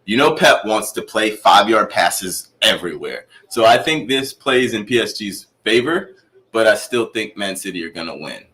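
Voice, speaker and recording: A man hosting a casual football watchalong stream, excitable and quick to laugh. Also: lowish voice. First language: not Spanish. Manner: excitable, laughs a lot, talks in quick bursts. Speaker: host.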